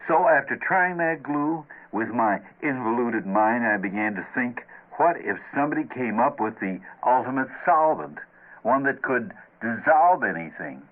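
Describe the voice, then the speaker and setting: A man's voice: medium pitch, expressive, normal speed. A man hosting old-time radio plays with wry, teasing, conversational narration. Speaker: host and writer of radio plays. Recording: slightly dull old radio recording.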